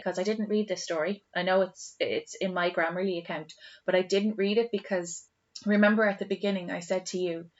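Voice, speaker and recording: A woman's voice: on the low side, muffled recording. An Irish woman co-hosting a podcast in casual chat, talking in a chatty, storytelling way and acting out reactions. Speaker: podcast co-host.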